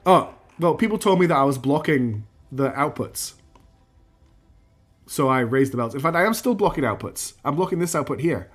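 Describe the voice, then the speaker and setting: A man streaming a factory-building game, losing his voice and clearing his throat, talking himself through each step and answering chat. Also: fairly high pitch, lively intonation, moderate pace. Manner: talks himself through building steps, counts out loud, asks himself questions; answers chat messages as he plays. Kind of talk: live gameplay commentary, thinking aloud.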